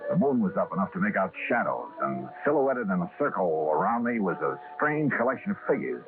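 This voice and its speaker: An actor playing a wry insurance investigator with clipped, dry wit in an old-time radio detective drama. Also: male voice, lowish voice, very animated, a little fast. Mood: dry narrating. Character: wry freelance insurance investigator, adult man. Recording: tinny vintage radio recording, music behind.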